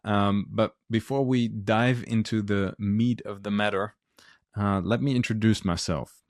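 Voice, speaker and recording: A man with a Dutch accent speaks in a low, level voice, pausing often on ums and uhs as he explains things earnestly. The recording is dry and close, with no room sound. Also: fairly even intonation, dry recording. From Netherlands. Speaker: podcast host, physician. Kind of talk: solo podcast monologue.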